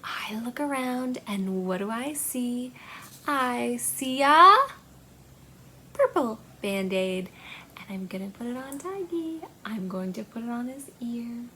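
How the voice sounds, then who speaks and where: Slow, singsong woman hosting children's storytime. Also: on the high side, expressive, pushed and bright, a little hiss or hum. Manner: singsong, deliberate, repetitive phrasing for small children. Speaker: children's library storytime host. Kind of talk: storytime read-aloud and simple activity for young children.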